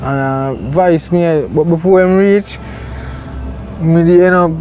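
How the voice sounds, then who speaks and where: A man's voice: on the high side, slow pace, dark tone, faint music behind. A man with a Jamaican accent recounting events casually in Patois, often repeating himself as an interviewee. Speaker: interviewee, relative of a missing person.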